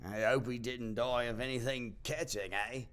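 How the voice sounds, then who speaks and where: An actor playing a sly, greedy old rag-and-bone shopkeeper in an audio play, haggling in a wheedling, mock-generous way. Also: male voice, mid-range voice, fairly even intonation, moderate pace, fairly bright tone, clear, resonant tone, natural voice. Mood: nosy, wry. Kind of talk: audio play character acting.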